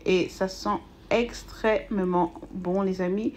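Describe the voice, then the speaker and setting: A woman's voice: mid-range voice, fairly even intonation, slow, a little mellow. Woman, cooking host, chatty, hesitant fillers, faint background noise. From Belgium. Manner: chatty, hesitant with frequent fillers, addresses viewers as friends. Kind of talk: casual cooking voice-over.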